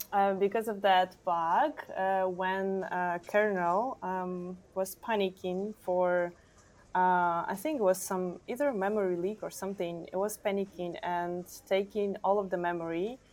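A woman from Ukraine, an engineer guest, chatting casually on a podcast and pausing often with ums. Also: on the low side. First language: Ukrainian or Russian. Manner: thoughtful, many ums and pauses.

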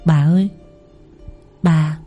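A man's voice: on the high side, steady tone, slow pace, mellow, breathy edge, soft background music, pitched above the performer's own voice. A man doing a worried young servant girl. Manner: anxious questioning.

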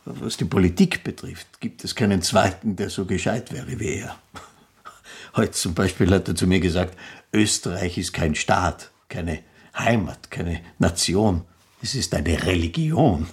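Husky, sardonic aristocratic gentleman. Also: low voice. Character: sardonic aristocratic Austrian gentleman, politically disillusioned. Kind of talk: literary radio drama.

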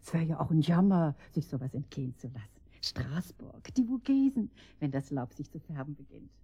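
A woman's voice: low voice, expressive, moderate pace, warm tone, slightly rough, full character voice. A woman playing a chatty, over-polite, gushing neighbour in a radio drama. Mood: flustered, gushing. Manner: effusive, wordy, over-polite. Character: chatty, gushing, apologetic neighbour, sweetly nosy aunt. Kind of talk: German radio drama acting.

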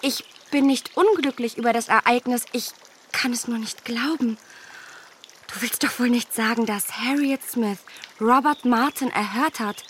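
An actress playing a spirited, self-assured young gentlewoman in a period radio play, expressive and emphatic. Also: fairly high pitch, measured pace, a little hiss or hum, lightly acted. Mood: astonished, emphatic. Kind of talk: German radio play acting of period novel.

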